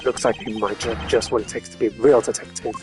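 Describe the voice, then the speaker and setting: A seasoned male police detective from a video game, voiced by a man, speaks in a gruff, fatherly way. He is blunt and weary, over faint music. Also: lowish voice, fairly even intonation, fast, close to an everyday voice. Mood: grave encouragement. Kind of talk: video game character voicing.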